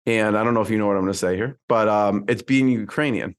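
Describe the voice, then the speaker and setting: A man's voice: fairly low pitch, quick pace, clean tone. A man hosting a podcast, introducing his guest in a chatty way with many ums. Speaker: podcast host. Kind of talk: conversational interview intro.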